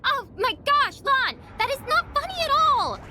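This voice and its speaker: A woman playing a feisty, sarcastic, easily spooked young sister in an animated game cutscene. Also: extremely high pitch, normal speed, noisy background. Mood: panicked.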